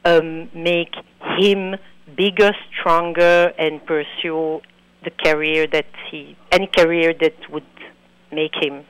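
A woman's voice: low-pitched, flat delivery, smooth, no room sound, poor audio. Belgian woman with French accent. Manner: earnest, halting, repeats words. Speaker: documentary filmmaker guest. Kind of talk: interview answers.

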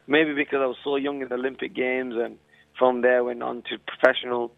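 Gravelly young man on a tinny phone. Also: medium pitch, level tone, no room sound. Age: 20s. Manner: halting, leans on "you know" and "I mean". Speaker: professional boxer being interviewed. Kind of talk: casual phone interview. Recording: tinny dry phone line.

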